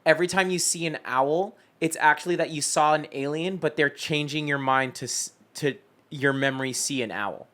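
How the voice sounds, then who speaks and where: A man's voice: a little high, moderate pace, pushed and bright. A man chatting casually as a podcast panelist. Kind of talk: casual chat.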